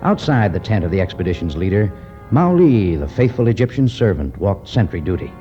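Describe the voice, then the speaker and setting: A man announces and narrates in vintage radio style. His low voice moves dramatically up and down. Music plays behind him on a dull old recording. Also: sing-song, brisk, mellow. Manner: dramatic, declamatory. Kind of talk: 1950s radio scripted narration.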